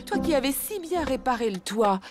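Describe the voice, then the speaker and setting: A caring elephant mom from a children's cartoon. She speaks expressively with lively swings of pitch, soothing and a little worried, over background music. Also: moderate pace. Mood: concerned. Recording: music behind the voice.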